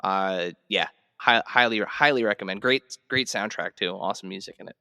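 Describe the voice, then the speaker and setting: Halting male podcast host, dry recording. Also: on the low side, gravelly, sharp tone, no room sound. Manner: halting, repeats words. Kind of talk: casual podcast chat.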